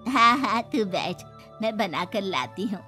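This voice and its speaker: Woman acting older mother-in-law, motherly, bright voice, animated pitch. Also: unhurried, breathy edge, faint music behind, heavily acted. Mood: gently chiding. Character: motherly older mother-in-law, soft but easily swayed.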